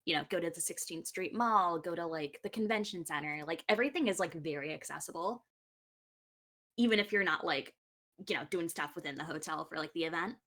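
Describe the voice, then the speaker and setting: A woman's voice: fairly low pitch, a little fast, fairly bright tone. A young woman chatting casually and upbeat as a guest on a podcast. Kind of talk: casual chat.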